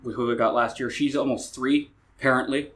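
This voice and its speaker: A man hosting a casual podcast interview, relaxed and a little hesitant, asking simple questions. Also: mid-range voice, a little fast. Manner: relaxed, hesitant, many "uh" fillers. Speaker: podcast host.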